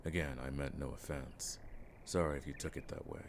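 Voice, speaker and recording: A man playing a weathered, world-weary veteran monster hunter in a fantasy audio drama, with a gravelly voice and a dry, wryly formal way of speaking. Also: very deep voice, fairly even intonation, a little fast, background noise. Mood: weary, frank.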